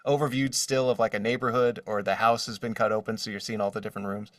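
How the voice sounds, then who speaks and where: A man chatting casually and enthusiastically as an interview guest, in an expressive way. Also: fairly low pitch, fast, no echo, studio quality, recorded from a distance. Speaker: guest, voice actor and artist.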